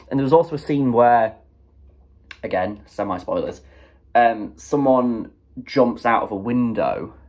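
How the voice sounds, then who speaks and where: A young man talks through a film review with a sniffly, stuffed-up voice from a cold. His tone is husky and slightly breathy. He rambles in a loose, chatty way, packing in fillers and little acted-out reactions. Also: mid-range voice. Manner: chatty, animated, many fillers and quoted reactions. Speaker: movie reviewer. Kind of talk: casual spoken film review.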